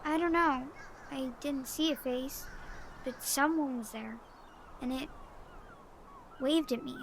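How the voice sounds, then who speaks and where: A woman's voice: sits high, huge pitch swings, slow pace, slight background noise, heavily acted. A woman playing a wary, eerie young girl in an audio drama, speaking in terse, simple sentences. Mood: frightened, wary.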